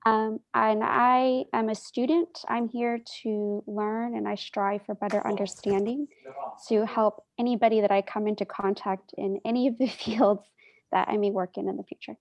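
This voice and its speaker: Measured woman, a nurse and student. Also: mid-range voice, fairly even intonation, a little mellow. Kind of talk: self-introduction.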